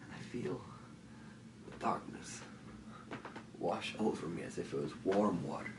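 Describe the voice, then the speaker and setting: A man acting a dramatic stage monologue as a grieving, haunted man, speaking haltingly and intensely with repeated phrases. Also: low voice, gravelly, background noise. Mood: hushed, despairing. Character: grieving haunted man tormented by dreams of lost woman. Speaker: stage actor. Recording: echoey room, distant microphone.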